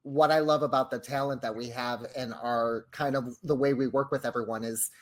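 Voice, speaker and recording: A man's voice: medium pitch, fairly even intonation, normal speed. A man talking casually as a writer in a group chat, agreeable and upbeat. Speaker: audio drama writer.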